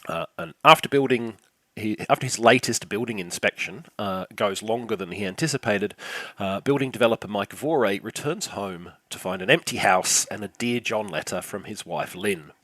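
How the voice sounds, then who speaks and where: An older man with a slightly croaky, lowish voice delivers a scripted film review. His wit is dry and sarcastic, his sentences are peppered with "uh", and the recording is close and completely dry. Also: moderate pace, sharp tone, no room sound.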